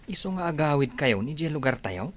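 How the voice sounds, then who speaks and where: A man's voice: on the high side, lively intonation, fairly quick. A man with an Ilocano accent playing a curious, teasing pal in a radio comedy-drama. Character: teasing male pal and workmate, curious and plain-spoken.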